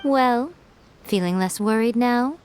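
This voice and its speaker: A woman playing a sly, composed schemer in a video game, teasing and knowing in an unhurried way. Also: fairly high pitch, noisy background. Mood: teasing, reassuring. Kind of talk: scripted game voice acting.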